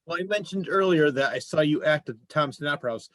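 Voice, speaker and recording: A man's voice: on the high side, fast talker, a bit bright, no room sound. A man hosting a casual interview, talking in an encouraging, complimentary way. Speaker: show host, interviewer.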